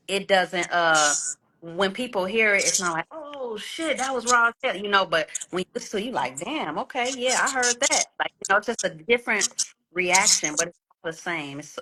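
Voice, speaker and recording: A woman's voice: medium pitch, pushed and bright, poor audio. A woman in her thirties, an R&B singer talking candidly in a casual interview, swearing freely and often repeating words. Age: 30s. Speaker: guest R&B singer and songwriter.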